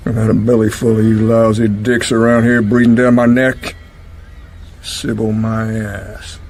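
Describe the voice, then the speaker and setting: Nasty american wharf tough, short hostile answers, radio drama, noisy ambience. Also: male voice, fairly low pitch, little pitch movement, a little slow. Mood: menacing. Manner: curt, drawling, hostile. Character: surly, menacing American waterfront thug with a rifle.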